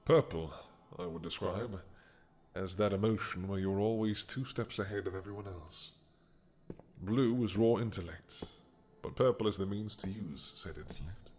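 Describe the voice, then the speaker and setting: A man playing an ancient, regretful dragon king in an audio roleplay, talking slowly and softly with sighs. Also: low voice, natural voice. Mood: brooding. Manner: slow, soft-spoken, musing with sighs.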